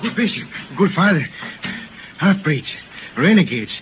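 A man's voice: a little high, very animated, unhurried, narrow, tinny audio. An actor playing an alarmed townsman bringing dire news in a scratchy 1930s radio drama. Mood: alarmed, frantic.

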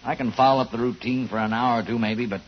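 An American actor playing a plain-spoken, sympathetic police lieutenant in a 1950 radio crime drama. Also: male voice, mid-range voice, steady tone, quick pace, a little hiss or hum, natural voice. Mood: subdued, sympathetic. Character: police lieutenant, sympathetic old friend of detective. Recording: muffled vintage 1950 radio recording.

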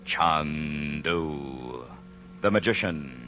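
A man's voice: extremely low pitch, expressive, drawn-out words. An American man announcing and narrating in a theatrical, emphatic old-time radio style. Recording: dull old radio recording with music.

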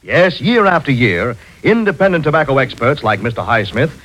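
A man's voice: medium pitch, sing-song, moderate pace, a bit bright, rough recording. A man reading a scripted commercial in 1940s radio announcer style. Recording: old radio recording.

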